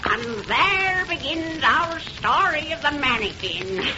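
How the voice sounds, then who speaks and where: Cackling old witch lady, high swoopy voice, old noisy 30s radio horror. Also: expressive, slow pace, lo-fi sound. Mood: eerie, relishing. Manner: folksy, rustic, relishing the gloom. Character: cackling 113-year-old witch, gleefully eerie storyteller. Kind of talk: 1930s radio horror acting. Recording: noisy, band-limited 1930s radio recording.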